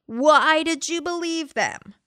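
A woman's voice: high-pitched, fairly bright tone, dry recording, studio quality, voice pushed up. Woman putting on an indignant fire-and-brimstone preacher. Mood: indignant warning. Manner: emphatic, drawn-out stresses, rhetorical questions. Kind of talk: dramatic reading of a religious tract.

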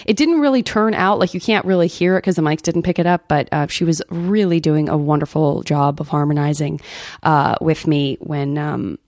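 A woman's voice: low-pitched, moderate pace, a little mellow, dry recording, studio quality. An American woman hosting a podcast, chatting casually and reading out messages in a rambling, effusive way with self-interruptions. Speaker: podcast host. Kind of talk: casual chat, reading out listener emails.

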